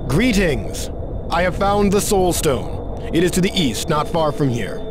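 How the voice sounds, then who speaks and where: An earnest young warrior, speaking formally. His voice sits fairly high and moves a lot. Loud game music plays behind him. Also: big pitch swings, unhurried. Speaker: game character. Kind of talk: video game cutscene acting.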